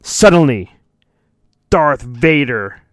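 A man hosting a podcast, giving a mock-dramatic storybook reading and breaking into jokey, deadpan asides. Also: mid-range voice, slow, no room sound, clean studio sound. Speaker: podcast host.

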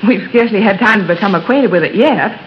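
A woman's voice: medium pitch, fast, close to an everyday voice. Woman as a genteel young daughter, muffled recording. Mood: polite, gracious. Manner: genteel, apologetic, courteous. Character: polite young American daughter, gracious hostess. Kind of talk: 1930s radio drama acting. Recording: muffled 1930s radio, faint noise.

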